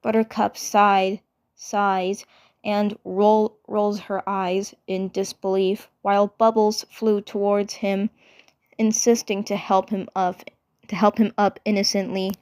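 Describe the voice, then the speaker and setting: A woman reading fan fiction narration aloud, haltingly, often repeating phrases as she goes. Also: mid-range voice, little pitch movement, a little mellow, smooth. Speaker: fan dub storyteller.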